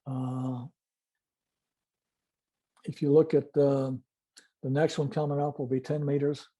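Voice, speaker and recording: An older man giving an informal technical presentation and demonstration, explaining methodically in a halting way. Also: medium pitch, little pitch movement, dark tone. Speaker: club presenter, retired-style veteran telephony engineer.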